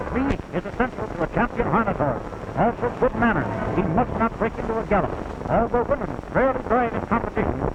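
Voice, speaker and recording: A man giving scripted sports commentary for a 1930s newsreel. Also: high voice. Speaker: newsreel narrator. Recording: noisy, dull 1930s newsreel soundtrack.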